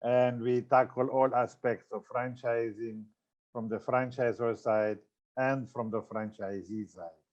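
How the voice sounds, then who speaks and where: Older man from Austria, seminar presenter, mid pitch, halting with fillers, slightly dull lo-fi audio. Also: fairly even intonation, a little mellow. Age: 60s. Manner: loose, halting delivery with many fillers and self-corrections. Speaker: franchise consultant giving a seminar.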